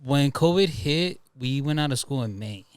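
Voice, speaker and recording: A young man with a smooth, clear voice at a middling pitch, recorded dry and close. He chats informally as a guest and tends to repeat himself. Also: lively intonation, moderate pace, dry recording. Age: young adult. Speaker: podcast guest, filmmaker. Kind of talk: casual chat.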